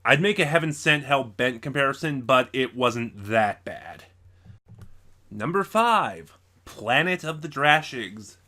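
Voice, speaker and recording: Bright, forceful-voiced man reviewing casually. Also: medium pitch, lively intonation, slow. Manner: conversational, opinionated. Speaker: video reviewer and channel host. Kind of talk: casual opinion review to camera.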